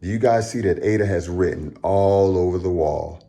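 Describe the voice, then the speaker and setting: A man reading a rhyming children's picture book aloud as a storytime host, in an expressive, dramatic storyteller manner. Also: deep voice, normal speed, vocal fry.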